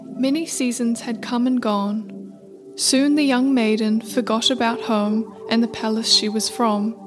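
Woman narrator, fairly high voice, scripted folktale storytelling, clear music bed. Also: steady tone, a little slow.

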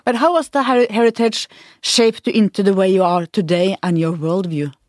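Woman interviewer, direct questions, mid pitch, dry sound. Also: moderate pace, dry recording.

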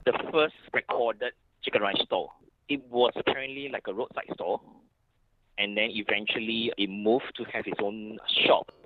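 A man's voice: on the low side, steady tone, normal speed, a little hiss or hum. A man from Singapore who researches food history, explaining in a rambling way with repeated words. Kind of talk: interview. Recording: tinny phone-like line.

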